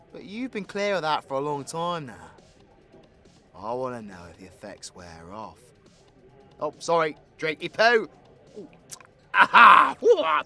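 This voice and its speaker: A man's voice: medium pitch, pushed and bright. A British man playing a cocky, foul-mouthed supervillain in an audio roleplay, with a crude, playful, conversational swagger. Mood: curious, probing, then tipsy, teasing. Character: cocky, foul-mouthed male supervillain, orphaned, teasing his drinking partner. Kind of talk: audio roleplay addressed to the listener.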